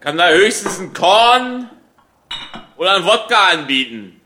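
An actor playing an easygoing, colloquial slacker bandmate in a conversational radio play, talking a little hesitantly and encouragingly. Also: male voice, sits high, big pitch swings, measured pace, bright, forceful tone, a little hiss or hum, exaggerated voice. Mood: laid-back.

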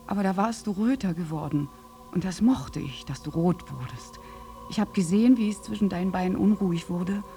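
Actress as a haunted young war bride, dark gravelly voice, halting intimate radio play monologue, music behind. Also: female voice, medium pitch, lightly acted. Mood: intimate, aroused. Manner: intimate, halting, drifting from breathy whispers to intense outbursts. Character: war-scarred young prostitute, soldier's bride, raw, lovestruck, haunted. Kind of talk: German radio drama monologue.

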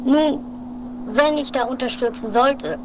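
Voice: high-pitched, a little slow. A performer playing a stubborn, fatalistic male daredevil in an improvised amateur comedy radio play, casual and resigned. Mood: solemn. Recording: noisy 1980s amateur recording.

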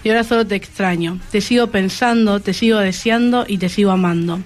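A teenage student with a feminine-sounding voice and a Rioplatense Argentine accent, speaking as a guest on a school radio show. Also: female voice, medium pitch, brisk.

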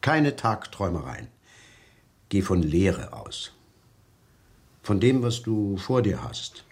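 A philosophical man in a radio drama, reasoning aloud with care and emphasis. His voice sits low, yet moves in a lively, varied melody as he explains his view. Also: takes it slowly, full character voice. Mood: considered. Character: articulate, philosophical man explaining a departed acquaintance. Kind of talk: radio drama played as an interview.

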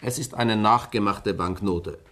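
An actor playing a persuasive, self-assured amateur sleuth and husband in a 1960s German radio crime play. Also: male voice, lowish voice, measured pace, fairly bright tone. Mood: brisk, confident. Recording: noisy early-1960s radio recording with clicks.

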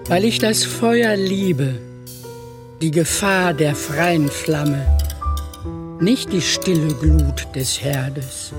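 A man recites verse in a deliberate, declamatory manner. He speaks slowly in a slightly high voice, with music clearly behind him. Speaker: reader. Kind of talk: poetry recitation.

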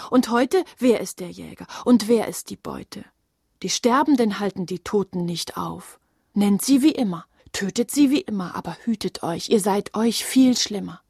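An actress playing a haunted, defiant and grieving young woman in a poetic radio drama, speaking declamatory lines that shift from urgent to hushed. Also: medium pitch, breathy edge, no room sound, studio quality. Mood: bitter.

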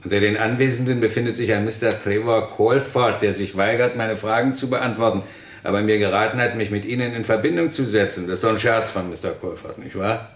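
A rather low-pitched police inspector acted by a man in a radio crime play. He is courteous and formal, weighing his phrases deliberately as he probes with polite scepticism. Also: lightly acted. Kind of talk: German radio crime drama acting.

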